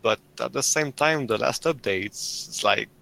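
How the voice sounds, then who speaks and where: Gravelly French-Canadian man, bright tone. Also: medium pitch, measured pace, rough recording. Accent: Quebec French. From Quebec, Canada. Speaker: podcast guest. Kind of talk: casual chat.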